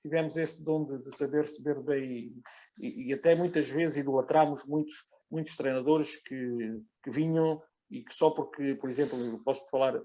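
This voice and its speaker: A man with a European Portuguese accent giving his view as a football guest, halting and repeating words as he argues. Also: mid-range voice, fairly even intonation, mellow. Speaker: football guest, former player. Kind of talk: casual panel discussion.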